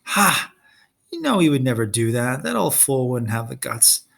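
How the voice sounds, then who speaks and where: A man's voice: medium pitch, big pitch swings, moderate pace, vocal fry, fairly bright tone, full character voice. A man reading a storybook as a menacing, sneering young male villain who taunts and gives orders. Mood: sneering, irritated. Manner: taunting, commanding.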